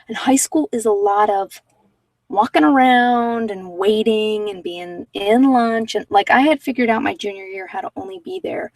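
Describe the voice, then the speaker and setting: A woman's voice: on the high side, animated, normal speed, dry recording, recorded from a distance, rough recording. A woman giving casual live tarot readings to viewers, talking informally and thinking aloud as she goes. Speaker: tarot reader and channel host.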